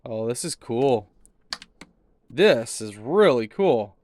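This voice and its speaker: A man's voice: mid-range voice, animated, takes it slowly, a little mellow. A man giving a casual product unboxing and demo in an easygoing, approving, informal way. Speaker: product reviewer. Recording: noticeable background noise with handling clicks.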